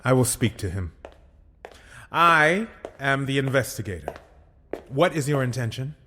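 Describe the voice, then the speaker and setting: An actor playing a young, formal, authoritative crown prosecutor in a period audio drama, speaking in measured, clipped phrases. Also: male voice, medium pitch, big pitch swings, sharp tone, slight background noise. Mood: stern. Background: music.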